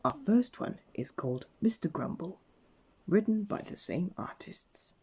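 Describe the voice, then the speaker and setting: A man narrating a children's bedtime story in an expressive read-aloud manner. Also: high-pitched, unhurried, breathy edge. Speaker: storybook reader.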